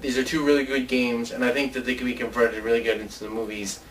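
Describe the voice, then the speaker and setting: A man's voice: medium pitch, little pitch movement, fast. Man chatting casually, away from the mic. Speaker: co-host of a video show about movie ideas. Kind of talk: casual chat. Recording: distant mic with faint background noise.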